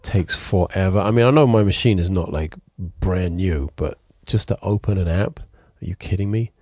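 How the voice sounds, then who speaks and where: A man's voice: low voice, normal speed, darkish tone, no echo. A man in his thirties, stuffy and congested, hosting a podcast from his home music studio in a rambling, frank way, correcting himself as he goes. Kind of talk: casual solo talk while playing piano. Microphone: studio mic on boom arm, headphones on. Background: his own piano playing.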